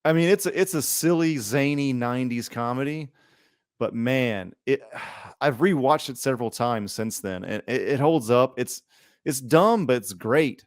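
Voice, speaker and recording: Mid-pitched man in casual podcast chat. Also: expressive, measured pace, no echo, clean studio sound. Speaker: podcast co-host.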